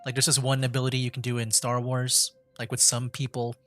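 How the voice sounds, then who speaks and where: A man's voice: medium pitch, monotone, a little fast, fairly bright tone. A man giving casual commentary as he streams a video game. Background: game music.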